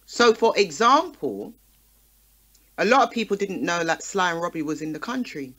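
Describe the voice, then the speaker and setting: A woman's voice: on the low side, animated, moderate pace, fairly bright tone. A woman speaking in a passionate, conversational radio discussion, emphatic and punctuating her points with rhetorical questions. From Caribbean community in the UK. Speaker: radio talk show guest.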